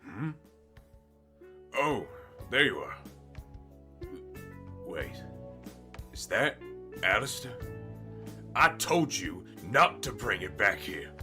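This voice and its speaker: A man acting as a gruff, helpful older blacksmith in a fantasy audio play. His voice is very low and swings expressively, telling things haltingly over clear background music. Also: very animated, sharp tone, exaggerated voice. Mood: startled, wary.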